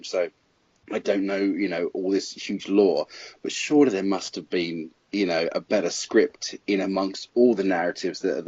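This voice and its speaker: A man's voice: deep voice, darkish tone, slightly rough, no echo. A man speaking as a film critic guest on a casual podcast, giving emphatic, opinionated verdicts. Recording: dull lo-fi podcast audio.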